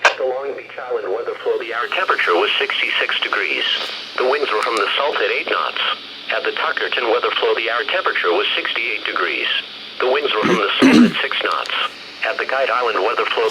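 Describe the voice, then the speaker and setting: A synthesized male voice reading a scripted weather bulletin in flat, measured short phrases over weather radio. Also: mid-range voice, over a noisy crowd. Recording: very noisy weather radio broadcast.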